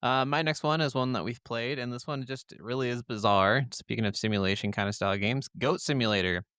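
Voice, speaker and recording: Clear-voiced man, casual podcast co-host. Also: medium pitch, fairly quick, fairly bright tone, no echo, studio quality. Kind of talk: casual gaming podcast chat.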